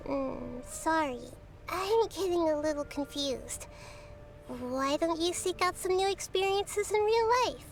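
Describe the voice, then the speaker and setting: A woman voicing a young-looking girl deity in a video game, gentle and reflective, speaking in analogies. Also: very high-pitched, animated, measured pace, a little hiss or hum, exaggerated voice. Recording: game audio with music behind.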